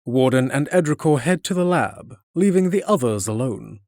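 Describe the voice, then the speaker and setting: A man's voice: medium pitch, expressive, moderate pace, darkish tone, dry recording, clean studio sound. A man reading scripted narration for a fantasy drama.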